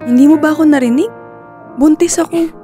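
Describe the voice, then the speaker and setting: An actress playing an anxious young working woman in a Filipino radio drama, with an expressive, conversational delivery. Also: sits high, fairly quick, soft background music, lightly acted. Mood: distressed, panicked.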